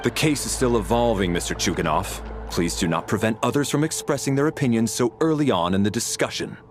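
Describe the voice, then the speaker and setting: Man acting a formal, low-voiced chief investigator. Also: lightly acted. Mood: stern, calm. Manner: formal, measured. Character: composed, formal authority leading a murder inquiry. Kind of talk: dramatic game voice acting. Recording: loud string music behind.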